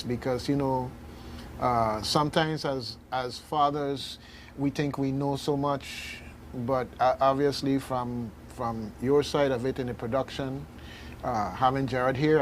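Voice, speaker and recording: Halting male radio host, faint noise. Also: medium pitch. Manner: halting, repeats words. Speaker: radio talk show host. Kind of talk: casual on-air discussion.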